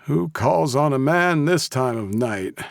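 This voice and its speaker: A man's voice: fairly high pitch, moderate pace, gravelly, no room sound, clean studio sound, pitched above the performer's own voice. A man voicing a crabby, tired old man who blusters and protests in an audiobook story. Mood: disgusted. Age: older.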